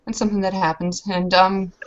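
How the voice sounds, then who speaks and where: A woman's voice: mid-range voice, flat delivery, fairly quick, dull audio, natural voice. A woman voicing a self-deprecating female werewolf warrior who tells of her battles in a hesitant, rambling way. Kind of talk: in-character tabletop roleplay storytelling.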